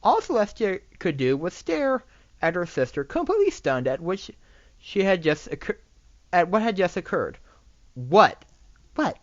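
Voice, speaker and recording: Animated, halting amateur story narrator. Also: medium pitch, dry recording, lo-fi sound. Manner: halting, often stumbling and repeating words. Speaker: narrator reading fan fiction.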